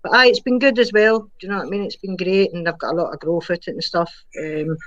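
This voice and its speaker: A woman's voice: lowish voice, fairly even intonation, fast, fairly bright tone, dull audio. A woman with a Glaswegian and Galloway Irish accent, a community radio presenter talking candidly as a live interview guest. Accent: Glaswegian and Galloway Irish mix. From Scotland. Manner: candid, self-critical, uses "aye".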